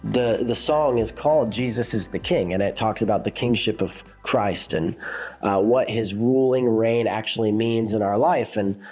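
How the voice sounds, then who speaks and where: A man's voice: fairly low pitch, moderate pace, darkish tone, breathy edge, faint music behind. A congested, sick man talks through a casual reflective vlog as a worship leader, rambling with many fillers and restarts.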